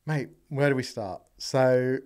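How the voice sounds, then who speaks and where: A young man hosting a podcast, chatting casually and jokily into a boom mic. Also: a little mellow. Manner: upbeat, jokey, quick asides. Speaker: podcast host. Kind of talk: casual interview chat. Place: podcast studio with brick wall. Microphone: boom mic on arm.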